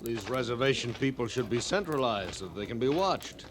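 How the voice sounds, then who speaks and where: An actor playing a haughty, hard-line army major with formal, authoritative speech in an old radio western. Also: male voice, medium pitch, lively intonation, a little fast, lightly acted. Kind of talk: 1950s radio western acting. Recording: noisy old radio drama recording.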